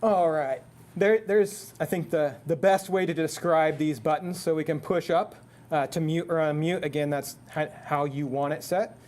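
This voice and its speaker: A man's voice: fairly high pitch, normal speed, a little mellow. A man presenting a technical product at a seminar in a conversational, explanatory way. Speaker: product presenter for an intercom manufacturer.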